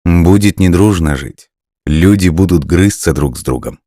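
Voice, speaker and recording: A wise old-tale serpent, voiced by a man, offering measured, forgiving counsel. The voice is extremely deep and dry, in a storybook manner. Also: mellow, no room sound. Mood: calm, advising. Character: wise, forgiving female talking snake of folklore.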